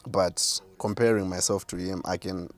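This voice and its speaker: A man with a deep voice, a self-assured artist answering interview questions. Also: fairly even intonation, moderate pace. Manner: self-assured, boastful about his skill. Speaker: rapper and artist, guest.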